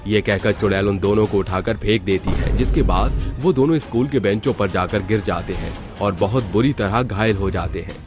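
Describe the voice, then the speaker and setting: Low-voiced man narrating horror. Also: normal speed, few pauses, music in the background. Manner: dramatic storytelling. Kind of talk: scripted horror story narration.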